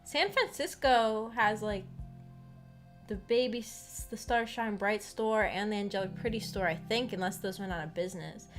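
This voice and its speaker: An American woman streamer chatting casually in a rambling, halting, giggly way. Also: mid-range voice. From United States. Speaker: streamer, visual novel book club host. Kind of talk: casual livestream chat. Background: background music.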